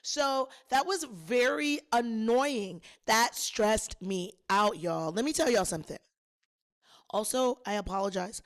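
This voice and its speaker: A woman hosting a podcast, a little hoarse, chatting and telling a story straight to her listeners with plenty of emphasis. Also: on the high side, slow pace. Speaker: podcast host. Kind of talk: casual solo storytelling.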